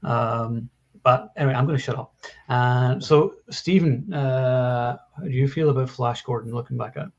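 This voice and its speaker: A man in his forties hosts a relaxed film talk in a middle-pitched voice. He rambles along with enthusiasm. The audio is a slightly dull, lo-fi livestream. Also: moderate pace. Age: 40s. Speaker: host of a live film discussion show. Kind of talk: casual film chat.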